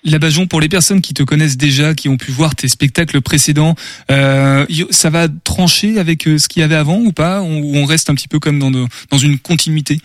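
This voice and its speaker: A man from Anjou with a French accent from Anjou, a radio host interviewing a guest in a friendly, halting way with fillers. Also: fairly high pitch, dry recording, clean studio sound.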